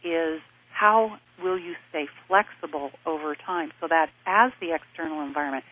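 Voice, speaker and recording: A woman with a bright, slightly husky voice speaks in a measured, explanatory manner as an interview guest. She grew up in Switzerland and lays out her ideas in steady lists of points. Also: low voice, rough recording. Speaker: interview guest, business advisor. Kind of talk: thoughtful conversational interview answers.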